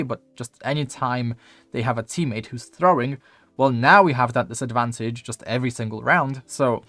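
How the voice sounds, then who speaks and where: Rambling Polish-accented game coach. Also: male voice, medium pitch, a bit bright. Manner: rambling, filler-heavy, casual swearing. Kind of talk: casual gameplay video review.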